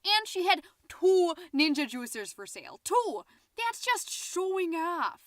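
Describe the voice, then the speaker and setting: A woman's voice: very high-pitched, very animated, unhurried, no echo, studio quality, exaggerated voice. A woman playing a gossipy, petty, easily flustered middle-aged American suburban mom, chattily hosting her podcast in a scripted comedic monologue. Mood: petty, indignant. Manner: chatty, indignant, with muttered asides. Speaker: podcast host.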